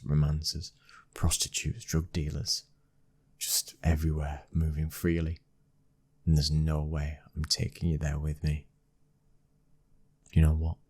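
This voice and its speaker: Male actor as controlling, loving mafia husband, British accent, rumbling bass pitch, husky breathy tone, flat delivery, intimate ASMR roleplay. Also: exaggerated voice. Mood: guarded, firm, then coaxing. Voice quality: husky, breathy, sometimes dropping to a whisper. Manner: commanding yet intimate. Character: young mafia boss's son, protective, controlling, loving husband. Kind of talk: ASMR boyfriend roleplay audio drama.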